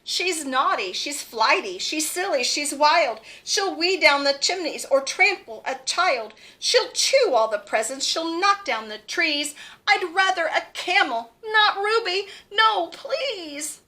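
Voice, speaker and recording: A whiny flock of reindeer, played by a woman reading aloud pleads in a high, bright, rising voice. The reindeer sound complaining and desperate to get out of a chore. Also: expressive, slow, heavily acted. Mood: pleading.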